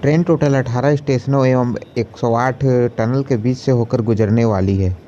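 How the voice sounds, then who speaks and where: A man's voice: medium pitch, fairly even intonation, normal speed, dark tone, dull audio, slight background noise. A young man narrating a travel vlog as its host. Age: young adult. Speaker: travel vlogger.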